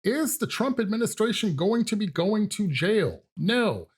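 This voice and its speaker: A man's voice: high voice, big pitch swings, measured pace, breathy edge. A man giving sarcastic, emphatic political commentary on video clips.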